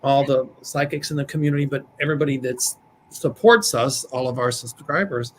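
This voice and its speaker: A man with a slightly raspy voice, chatting and telling stories with jokes as a psychic on a live show. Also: medium pitch, fairly quick, slight background noise, low-quality recording. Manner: chatty, joking, storytelling. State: slightly raspy after surgery. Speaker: psychic, show guest-regular. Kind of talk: casual chat on a live stream.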